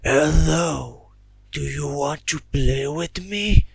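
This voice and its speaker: A young man voicing a creepy male hedgehog demon who slowly taunts the listener, run through stacked pitched-down copies. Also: creaky, breathy edge, full character voice. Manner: slow creepy taunting invitation. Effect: layered pitched-down copies with phaser and reverb.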